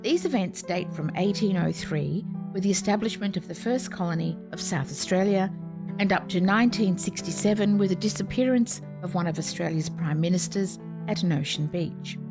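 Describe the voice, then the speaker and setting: A woman giving clear, even, scripted narration for a series of historical radio plays. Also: lowish voice, moderate pace. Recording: music playing behind. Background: music.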